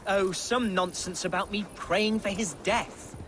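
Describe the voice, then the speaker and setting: A man's voice: high voice, steady tone, measured pace, fairly bright tone, slight background noise. An actor playing a pompous, theatrical young Saxon nobleman in a game cutscene. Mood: musing. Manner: pompous, theatrical, florid speech. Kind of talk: video game cutscene acting. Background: orchestral game music.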